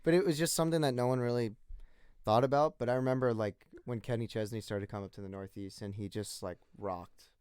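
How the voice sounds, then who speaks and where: A man's voice: medium pitch, steady tone, fairly quick, darkish tone, smooth, no room sound, studio quality. A man in his twenties, a singer-songwriter guest from the northeastern US, telling his story in a rambling, casual way with many restarts. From northeastern United States. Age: 20s. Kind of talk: casual interview chat.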